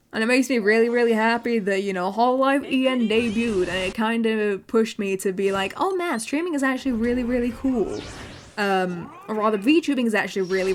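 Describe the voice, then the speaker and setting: A young woman with an English accent, chatting casually on a livestream, often repeating words and filling pauses. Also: fairly high pitch, a little hiss or hum. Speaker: VTuber streamer.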